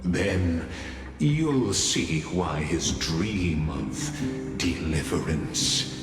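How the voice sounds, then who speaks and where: A solemn game narrator who foretells doom. The voice is low and extremely slow, grave and theatrical, ringing in long reverb over music. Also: male voice. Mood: grave, bitter. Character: solemn, ominous male narrator foretelling a doomed hero. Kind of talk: dramatic scripted game narration. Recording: echoey long reverb under loud music.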